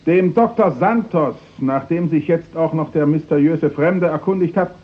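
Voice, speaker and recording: A man's voice: on the high side, moderate pace, lo-fi sound, lightly acted. A man acting a smug, cynical prime minister with a pompous, ironic manner in a 1960s German radio play. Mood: pompous, official. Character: smug, cynical male prime minister addressing his cabinet.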